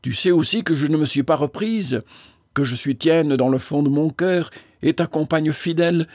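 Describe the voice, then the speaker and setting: Young religious woman, earnest and pleading, voiced by a male reader lifting his pitch, dry sound. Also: normal speed, darkish tone, no echo. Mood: tender. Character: young pious woman, gentle yet firm.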